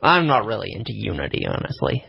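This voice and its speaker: Gravelly, husky co-host with deadpan delivery. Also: mid-range voice, fast talker, no room sound, highs cut off. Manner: dry, deadpan humor. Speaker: radio show co-host. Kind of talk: casual banter.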